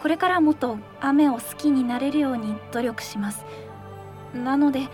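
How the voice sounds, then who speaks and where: A polite young girl, lost and unsure of herself, as a woman plays her. Her voice is high, with soft music behind her. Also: normal speed, close to an everyday voice. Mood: pleading.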